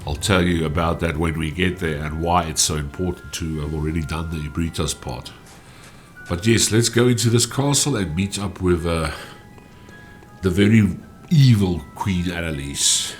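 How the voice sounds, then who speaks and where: A man from with a stuffy cold voice, giving relaxed gameplay walkthrough commentary. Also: sits low, moderate pace, soft background music. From South Africa. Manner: relaxed, explanatory, with frequent "uh" fillers. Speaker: gaming channel host.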